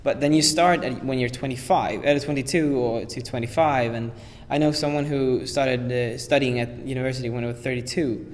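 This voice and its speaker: A man's voice: mid-range voice, fairly even intonation, brisk, hardly any pauses. Hesitant Swedish teen guy. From Sweden. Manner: hesitant, restarts, "uh"s. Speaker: exchange student. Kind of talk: casual group discussion. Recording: reverberant hall with faint noise.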